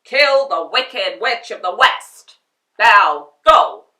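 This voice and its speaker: A woman voicing a stern giant floating male head that speaks loudly and slowly. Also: on the high side, distant mic, pitched above the performer's own voice, exaggerated voice. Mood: booming. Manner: loud, slow, commanding. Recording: echoey room, voice off-mic.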